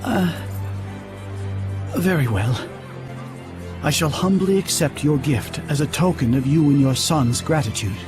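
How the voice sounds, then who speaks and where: An actor playing a humble, devout priest who speaks formally and deferentially, hesitant when flustered, in a fantasy game scene. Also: male voice, fairly high pitch, a little slow, pauses often, natural voice. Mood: troubled, reluctant. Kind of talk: dubbed fantasy game cutscene acting. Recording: orchestral music behind the voice.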